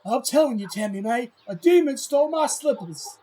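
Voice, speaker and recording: A man's voice: very high-pitched, lively intonation, moderate pace, dark tone. An actor playing a cranky, superstitious old country man, indignant and folksy, in an audio drama. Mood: insistent, aggrieved. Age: older. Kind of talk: audio drama character acting.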